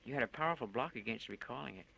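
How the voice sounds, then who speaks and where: A man voicing a patient male psychiatrist who speaks in a measured, soothing way and asks probing questions. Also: mid-range voice, animated, vocal fry, bright, forceful tone, heavily acted, poor audio.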